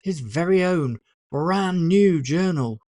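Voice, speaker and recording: A narrator reads a story in a deliberate audiobook style. The pitch is mid-range and moves in lively swings. The sound is close and dry, with expressive phrasing throughout. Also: no echo. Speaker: author narrating own audiobook.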